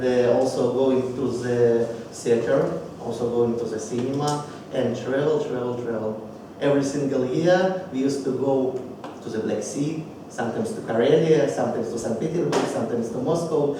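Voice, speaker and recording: Man with a Russian accent, echoey room. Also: mid-range voice. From Belarus. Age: 30s. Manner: halting, searching for words in a second language. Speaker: speaker at a public speaking club. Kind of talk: personal life story speech. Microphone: distant microphone. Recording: echoey room from far off, background noise.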